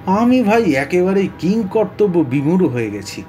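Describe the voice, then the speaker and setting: An earnest, puzzled police inspector in an audio drama. His voice is high and mellow, swinging widely as he stresses his words. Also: very animated, moderate pace, faint music behind.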